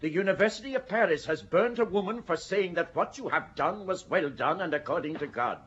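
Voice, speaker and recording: Severe archbishop, husky voice swinging up and down, lo-fi radio-play sound. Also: male voice, sits high, animated, fairly quick, fairly bright tone, full character voice. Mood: reproving. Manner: severe, admonishing. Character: stern male archbishop, cold and reproving. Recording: dull lo-fi recording.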